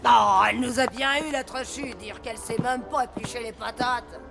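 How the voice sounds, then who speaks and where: A woman's voice: a little high, fairly even intonation, normal speed, creaky, over music. A woman voicing a mean, middle-aged café owner in a French cartoon, scolding and sneering with sarcasm.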